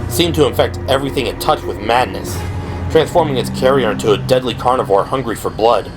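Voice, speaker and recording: A man's voice: medium pitch, fast talker, rough recording. A man delivering scripted, dramatic narration for an audio drama. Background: dramatic background music.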